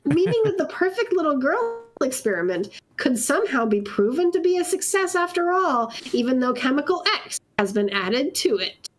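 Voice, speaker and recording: A woman's voice: sits high, very animated, moderate pace, hardly any pauses. A woman narrating fan fiction aloud for laughs in an amused, theatrical storytelling way. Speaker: narrator in a comedy reading group.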